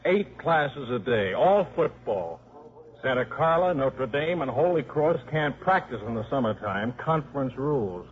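An actor playing a wisecracking, scheming football coach and father, brisk and slangy, in a 1950s radio drama. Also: mid-range voice, animated, lightly acted. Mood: scheming, confident. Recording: muffled vintage 1950s radio broadcast.

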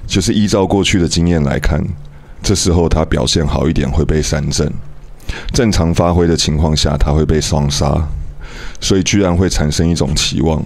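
A man's voice: extremely low pitch, fairly even intonation, normal speed, creaky, darkish tone, no echo. A man reading his own written piece aloud on a radio show.

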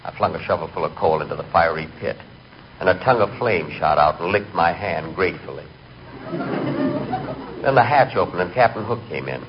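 A hapless, literal-minded middle-aged man narrates in deadpan 1940s radio style. His voice is low with lively swings of pitch. The recording is dull and clearly noisy. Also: a little fast, pauses often. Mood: storytelling. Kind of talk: 1940s radio comic monologue.

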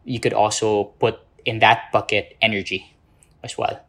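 A man's voice: fairly low pitch, flat delivery, normal speed, a bit bright. A man from the Philippines giving explanatory answers as an interview guest. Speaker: interview guest, social entrepreneur.

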